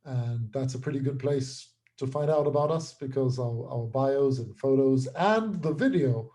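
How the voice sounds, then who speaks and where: Mellow-voiced male interview guest. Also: mid-range voice, moderate pace. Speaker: interview guest, writer and creator. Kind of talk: casual interview chat.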